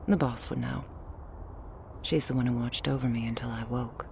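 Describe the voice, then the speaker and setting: A woman plays a guarded female vampire bodyguard with a very low voice full of gravelly creak. She speaks in a hushed, dry, terse way for an ASMR roleplay, unhurried and stern, over faint ambience. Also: steady tone, a little hiss or hum. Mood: musing, hushed.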